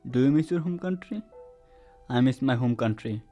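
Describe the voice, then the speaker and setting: A man's voice: mid-range voice, expressive, moderate pace, highs cut off. A young man from Bangladesh, giving plain, earnest scripted first-person narration. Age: teens to young adult. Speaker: student narrator. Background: soft instrumental music.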